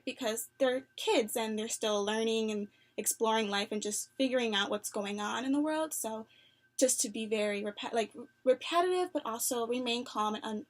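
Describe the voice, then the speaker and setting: A young woman with a fairly high voice answers interview questions over the phone. She sounds earnest and upbeat, fills her answers with "like" and "um", and laughs softly between thoughts. She comes across as sincere and eager to make a good impression. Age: young adult. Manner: earnest, upbeat, frequent "like" fillers and soft laughs. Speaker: job applicant.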